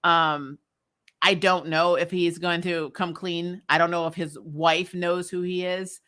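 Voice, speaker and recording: Bright-voiced woman chatting through a recap. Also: fairly low pitch, little pitch movement, measured pace. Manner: informal and opinionated, with mild swearing and wry asides. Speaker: host of a TV recap show. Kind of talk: casual chatty episode review commentary.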